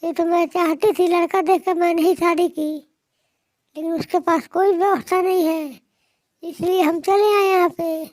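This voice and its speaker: A woman's voice: very high voice, steady tone, normal speed, a little mellow, dry recording. A woman voicing a stubborn, demanding young wife in a moral-story cartoon, insistent and complaining.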